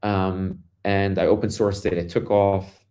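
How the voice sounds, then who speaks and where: Brooklyn-accented man, low register, guest founder, relaxed storyteller who restarts his sentences. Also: measured pace. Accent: Brooklyn New York. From Brooklyn, New York, US. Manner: loose storytelling with many fillers and restarts. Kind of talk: casual interview conversation.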